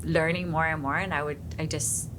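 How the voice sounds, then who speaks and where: A woman's voice: low-pitched, steady tone, a little fast. A woman from Hawaii, a former teacher, telling her story earnestly and reflectively in an interview. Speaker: former photography teacher, business owner. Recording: background noise.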